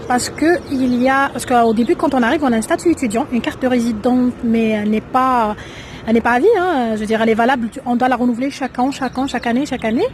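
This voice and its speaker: A woman's voice: a little high, quick pace, slight background noise. A woman interviewing her guest, asking rambling questions with many fillers. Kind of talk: casual interview.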